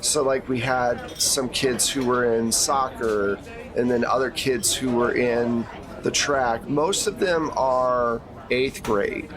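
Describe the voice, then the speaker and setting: A man's voice: medium pitch, takes it slowly, a crowd in the background. A man acting as game master, giving a conversational, explanatory briefing in a tabletop roleplay.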